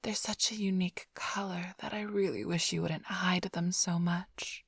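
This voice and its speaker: A woman's voice: lowish voice, fairly even intonation, normal speed, no room sound. A woman playing a flirtatious, smug female vampire thief in a whispered, teasing ASMR roleplay, drawling close to the microphone. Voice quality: breathy, whispery. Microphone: close-up studio microphone, dry.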